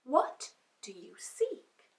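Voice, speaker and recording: A woman voicing a gentle winged lady who murmurs in a whisper. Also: extremely slow, distant mic. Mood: hushed. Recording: echoey room, voice off-mic.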